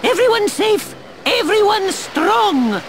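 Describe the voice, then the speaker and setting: A woman's voice: very high-pitched, very animated, slow, background noise, heavily acted. A woman voicing a small, cheerful, boastful yet fatherly furry creature in a video game, talking in childlike broken grammar in the third person. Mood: upbeat, eager. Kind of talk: video game cutscene voice acting. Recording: loud music behind the voice.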